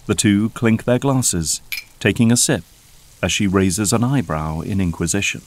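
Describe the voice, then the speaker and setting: Casting: male narrator for audio drama, low-pitched voice, scripted storytelling delivery. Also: unhurried.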